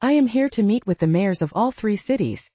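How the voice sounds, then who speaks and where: A woman's voice: mid-range voice, lively intonation, fairly quick, mellow, no room sound, dull audio, pitched above the performer's own voice, natural voice. Narrator as a polite, guarded young professional woman. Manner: polite, reserved.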